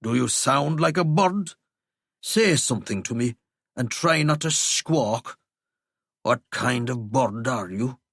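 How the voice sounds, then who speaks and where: A man voicing an eccentric, rambling old man with a thick Kerry Irish accent, drawling slowly and whimsically. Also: medium pitch, a bit bright, no room sound, studio quality, full character voice.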